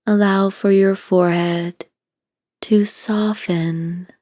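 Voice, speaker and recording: A woman narrating a scripted guided meditation in a calm, soothing, deliberate way, giving gentle instructions. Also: medium pitch, no room sound, studio quality.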